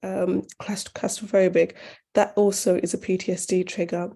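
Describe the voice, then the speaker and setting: A woman in her thirties, from northern Uganda and raised in the UK, speaks earnestly at a forum. Her voice is low and mellow, and her pitch barely moves. She talks in a measured way with small pauses. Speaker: charity founder and guest speaker. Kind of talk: forum talk and answering questions.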